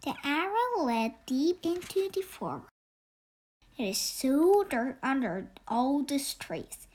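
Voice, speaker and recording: A woman's voice: high-pitched, sing-song, slow, a little mellow. A young girl of about eight reading a children's story aloud, earnest and careful, with occasional stumbles. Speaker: young reader of a children's book read-aloud. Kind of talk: expressive storybook read-aloud narration.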